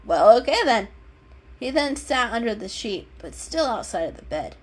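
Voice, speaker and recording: A woman's voice: a little high, sing-song, moderate pace, slightly rough. A woman storyteller giving a dramatic scripted reading of a children's story. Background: background music.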